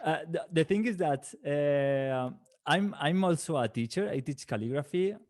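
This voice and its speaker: A man's voice: on the high side, expressive, normal speed, a little mellow. A man in his late thirties from Valencia, Spain, a native Valencian speaker, talking as a guest in a casual interview, often restarting his words and laughing. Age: 30s. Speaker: guest calligrapher.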